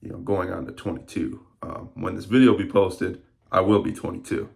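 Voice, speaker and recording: Young man, early twenties, casual vlog, low gravelly voice, rambling reflective tone. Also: fairly even intonation, brisk, a little mellow. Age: 20s. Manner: rambling, reflective, many fillers. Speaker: fitness vlogger. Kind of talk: casual vlog talk to camera.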